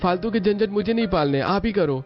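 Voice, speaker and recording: A man's voice: sits high, animated, fairly quick, voice pushed up. Man voicing a teasing young brother, muffled audio. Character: teasing young adult brother, an office-going son. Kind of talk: Hindi cartoon story dialogue. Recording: dull lo-fi audio with music.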